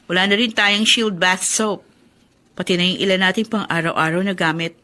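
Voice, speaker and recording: A woman's voice: lowish voice, normal speed, bright, forceful tone. A woman acting a practical, fretful housewife in a Filipino radio drama. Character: practical, nagging housewife fretting over household money. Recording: faint music behind the voice.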